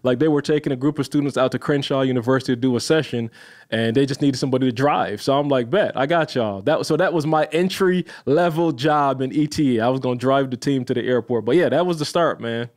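A man from Barbados, a podcast guest in his thirties, telling the story of his career in a lively, casual way. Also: mid-range voice, quick pace. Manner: animated, addresses listeners as y'all, laughs often.

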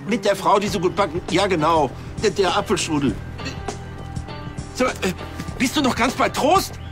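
Casting: pompous, stammering ex-police chief, higher-pitched and animated, TV crime comedy. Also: male voice, moderate pace, over music. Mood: agitated. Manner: stammering, self-important. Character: flustered, indignant former police councillor, male.

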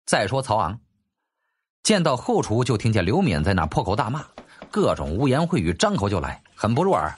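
起伏生动的男声有声书演播. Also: male voice, on the low side, wildly swooping pitch, a little fast, slightly rough. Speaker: audiobook narrator. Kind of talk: dramatic scripted narration of a historical novel.

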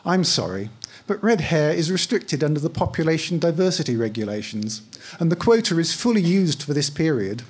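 Man reading as husky, officious female official. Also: fairly high pitch, big pitch swings, brisk, darkish tone. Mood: brisk, businesslike. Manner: polite, scripted customer-service sympathy. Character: politely apologetic, officious female parenthood officer.

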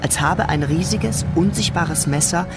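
A man delivers scripted narration for a radio drama. His voice is a little high for a man and is set against loud music. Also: measured pace.

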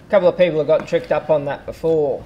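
A man's voice: a little high, fast, lo-fi sound, a little hiss or hum. A man hosting a workshop chat, chiming in with short agreements and questions. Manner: brief, agreeable interjections and questions. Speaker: host. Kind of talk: casual interview.

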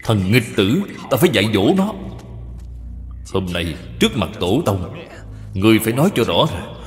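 A stern, quick-tempered father of old China, voiced by a man for a cartoon. He speaks in a commanding, scolding way with animated pitch. The sound is reverberant, over faint music. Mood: angry, commanding. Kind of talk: cartoon dubbing. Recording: long reverb, music behind.

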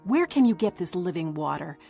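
A woman's voice: fairly low pitch, very animated, a little fast, creaky, mellow, soft background music. An actress playing a forthright, questioning village woman in a dramatized scripture reading. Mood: challenging, skeptical.

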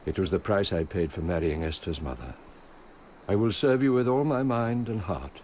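An actor playing a devout, shrewd old merchant and loyal steward in a radio drama, speaking in an earnest, measured way. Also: male voice, low-pitched, lively intonation, darkish tone. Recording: radio drama with faint background noise.

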